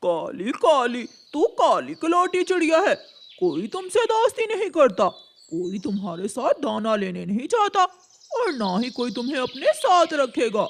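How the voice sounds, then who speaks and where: Woman putting on a loud, jeering crow bully. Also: high voice, very animated, a little slow, exaggerated voice. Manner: taunting, scornful, loud. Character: mean, mocking male crow bully.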